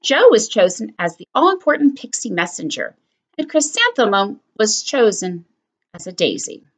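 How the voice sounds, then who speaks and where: Elementary teacher narrating a picture book, lively and expressive. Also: female voice, mid-range voice, normal speed, slightly rough. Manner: expressive, animated storytelling. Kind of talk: reading a picture book aloud.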